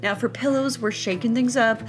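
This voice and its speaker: A woman's voice: on the high side, moderate pace, soft background music. A woman with a playful, chatty manner, narrating a home makeover video in an upbeat scripted style with casual asides. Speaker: host of a home makeover vlog.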